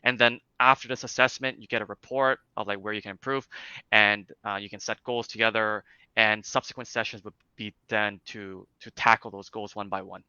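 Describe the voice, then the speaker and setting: Young man, light Cantonese accent, low-pitched and smooth, explains casually in a dry, slightly muffled recording. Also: fairly even intonation, moderate pace, pushed and bright, dry recording. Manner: explanatory, many fillers and restarts. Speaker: interview guest, speech clinic founder. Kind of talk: casual interview.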